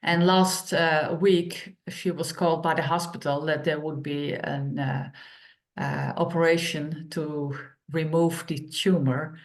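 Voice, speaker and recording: Slow-speaking woman with a low voice. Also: steady tone. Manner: unhurried, plain phrasing with many pauses. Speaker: workshop co-host and author. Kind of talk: online workshop presentation.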